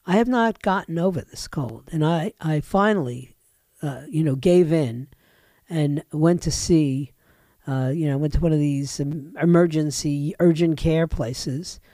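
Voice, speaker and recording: A woman's voice: deep voice, mellow, no echo. A woman talk radio host with a head and chest cold, chatting in a rambling way that keeps interrupting itself, at a studio microphone. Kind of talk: casual talk radio monologue.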